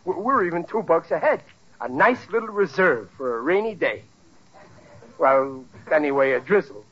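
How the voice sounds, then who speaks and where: A man's voice: fairly high pitch, animated, moderate pace, breathy edge. Flustered new family man, voice swoops all over, old muffled radio comedy. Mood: boastful. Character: blustering working-class family man, easily flustered, stubborn. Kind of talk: 1940s radio sitcom acting. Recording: dull vintage radio recording.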